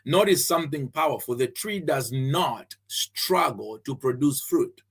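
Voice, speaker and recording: A husky man from Zambia who hosts a faith-based podcast, teaching in an earnest way. His pitch sits in a middle range for a man. He repeats his main points and often restarts sentences with fillers. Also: lively intonation, measured pace. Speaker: podcast host and preacher.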